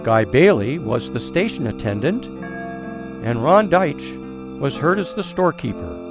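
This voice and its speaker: A man reading scripted radio theater credits as announcer, in a formal, deliberate manner. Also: on the high side, low-quality recording. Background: music with guitar.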